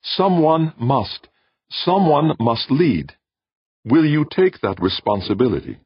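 A man voicing a calm, ancient alien being who speaks in a flat, intoning way. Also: a little high, slow, slightly rough, no room sound, voice pushed up, full character voice. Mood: solemn. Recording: dull dry recording.

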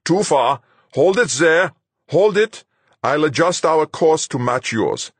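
Man acting a high, strained, commanding spaceship captain. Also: expressive, slow, no echo. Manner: sharp, insistent, barked commands. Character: sharp, commanding male spaceship captain barking orders. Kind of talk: audiobook character dialogue.

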